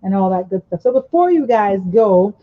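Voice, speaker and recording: A woman's voice: medium pitch, animated, normal speed, narrow, tinny audio. A woman hosting a radio talk show from a small studio, chatty and upbeat, with lots of fillers. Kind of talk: casual radio interview hosting. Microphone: studio mic on boom arm, headphones.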